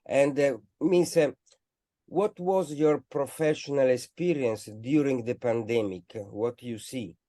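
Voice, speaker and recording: A man hosting a radio interview, speaking haltingly with lots of fillers and restarts. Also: medium pitch, mellow, no echo, clean studio sound. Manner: hesitant, halting phrasing with many fillers. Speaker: radio host.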